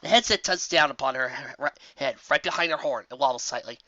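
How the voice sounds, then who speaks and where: An expressive narrator reading a scripted story aloud. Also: medium pitch, fast talker, dry recording, lo-fi sound. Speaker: fan fiction narrator.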